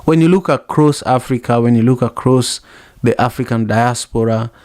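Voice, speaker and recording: Kenyan-born guy hosting a live audio room, middle pitch, dry close sound, keeps repeating words. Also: moderate pace, darkish tone, dry recording. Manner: effusive, repeats himself while searching for words. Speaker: host. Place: home setup, live audio app.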